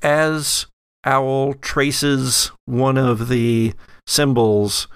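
A man narrating a horror tabletop game as game master, in a deliberate, descriptive, suspenseful storytelling way. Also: mid-range voice, fairly even intonation, smooth, no room sound, clean studio sound.

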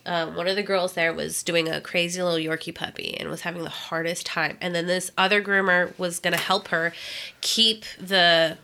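A woman's voice: fairly low pitch, flat delivery, moderate pace, creaky, pushed and bright. Congested woman telling stories casually. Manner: chatty storyteller, swears freely, laughs often. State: congested from a cold. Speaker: podcast co-host, dog groomer. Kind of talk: casual chat. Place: indoor room, recorded on microphone.

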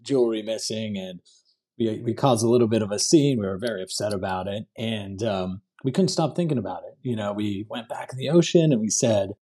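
Low-pitched man telling his story casually. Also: brisk, mellow, breathy edge. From Hong Kong. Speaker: podcast guest, entrepreneur.

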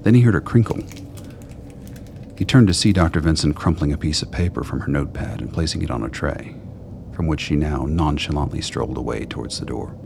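A man's voice: a bass voice, lively intonation, fairly quick. A man narrating a scripted radio drama. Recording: clear background noise.

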